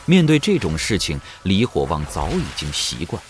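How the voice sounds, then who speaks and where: A man's voice: deep voice, wildly swooping pitch, moderate pace. A man narrating an audio drama in a dramatic, scripted storytelling style. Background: background music.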